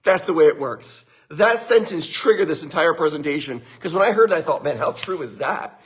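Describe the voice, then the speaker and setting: A middle-aged man with a husky voice giving an upbeat motivational talk, greeting viewers by name and laughing often. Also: fairly high pitch, lively intonation, fairly quick. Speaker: motivational speaker. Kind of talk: live-streamed motivational talk.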